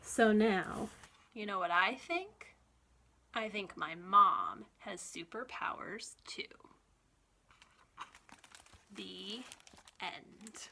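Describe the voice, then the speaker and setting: A woman children's librarian reading a picture book aloud in an expressive, deliberate storytime manner for young listeners. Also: mid-range voice, gravelly, slight background noise.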